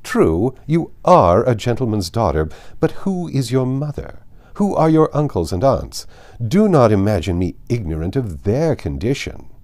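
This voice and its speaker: A man's voice: mid-range voice, sing-song, unhurried, a little mellow. Man putting on an imperious, indignant old noblewoman, emphatic delivery. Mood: scornful. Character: haughty, indignant older aristocratic lady. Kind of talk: dramatized audiobook reading.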